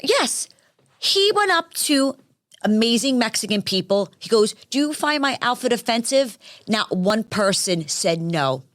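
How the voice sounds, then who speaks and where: A woman from Staten Island with a New York accent, talking as a guest in a casual interview in a brash, animated way with many restarts. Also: fairly high pitch, a little slow, no echo, studio quality. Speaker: guest, former reality show contestant.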